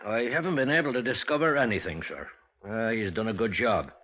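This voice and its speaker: An actor playing a dutiful, plain-spoken British police officer in a 1950s radio drama, colloquial and down-to-earth. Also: male voice, on the low side, fairly quick. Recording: dull, lo-fi 1950s radio recording.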